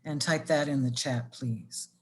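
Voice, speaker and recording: A woman with a very low voice moderates a webinar panel over a video call. She speaks politely and in a measured way, with some hesitant fillers. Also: fairly even intonation, a little mellow.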